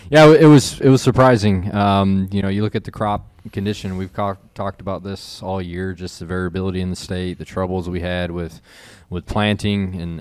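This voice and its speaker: A man with a very deep, smooth voice explains crop conditions as an agricultural specialist. He talks in a laid-back way, chuckling and thinking aloud as he goes. The sound is dry and close. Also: a little mellow, dry recording. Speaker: extension corn specialist. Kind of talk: casual expert chat.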